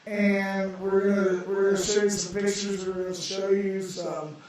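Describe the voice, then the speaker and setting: Male radio host, high and hoarse, halting casual chat, recorded in a very echoey room. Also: level tone, darkish tone, recorded from a distance. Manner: halting, repeats words. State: hoarse, losing his voice. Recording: echoey room, off-mic, faint noise.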